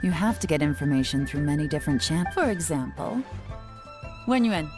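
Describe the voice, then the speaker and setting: A woman playing a poised, shrewd spymaster in an anime game, cool, composed and deliberate. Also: deep voice, expressive. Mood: knowing. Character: poised, shrewd female spymaster, calm and commanding. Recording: music behind the voice.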